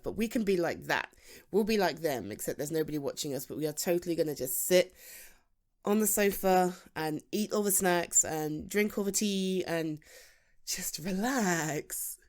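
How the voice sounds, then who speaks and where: A woman's voice: fairly low pitch, moderate pace, lightly acted. A British woman playing a playful, chatty female best friend in a humorous, conversational ASMR roleplay. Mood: relaxed, friendly. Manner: animated, conversational, teasing, with laughs and sighs.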